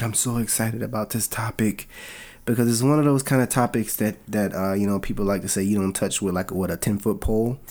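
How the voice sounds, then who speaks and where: Man with a cold, low voice. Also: darkish tone. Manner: earnest, loose, with many fillers. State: has a cold, slightly raspy. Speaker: podcast co-host. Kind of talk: casual podcast chat.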